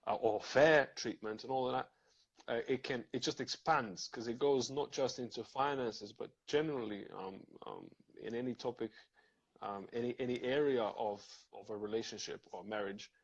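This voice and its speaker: A man's voice: medium pitch, normal speed, muffled recording. A man from Serbia, talking things through thoughtfully in a casual live video chat, with many fillers and restarts.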